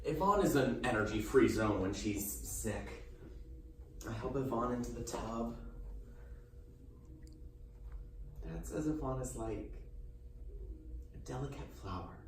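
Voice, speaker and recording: A man's voice: mid-range voice, animated, measured pace, close to an everyday voice. A man performing a theatrical solo monologue as a needy, troubled teenage boy magician who delivers showman patter and swings abruptly between coy and explosive. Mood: tender, devoted. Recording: roomy, distant mic, faint noise.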